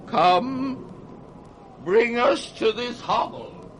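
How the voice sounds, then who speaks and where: A man's voice: animated, full character voice. An actor playing an aging, tormented king sliding into madness, with a grand British declamatory delivery in a Shakespearean radio play. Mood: broken, tender. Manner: grand, declamatory, slow and weighty. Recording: noisy 1960s radio recording, storm-like rumble.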